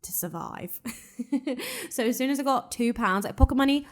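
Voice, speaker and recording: A woman's voice: sits high, lively intonation, normal speed. A woman in her twenties hosting a podcast, talking frankly and casually with lots of "like" fillers, her accent said to carry a faint northern twang. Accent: southern English with a slight northern twang. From England and Ireland. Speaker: podcast host. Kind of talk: casual solo chat.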